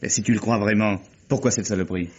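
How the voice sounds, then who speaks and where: An actor playing a curt, controlled, contemptuous office employee from in a vintage radio mystery. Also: male voice, lowish voice, steady tone, quick pace, breathy edge. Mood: accusing. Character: cold, accusing male office employee, wearily contemptuous. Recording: dull, lo-fi old radio recording.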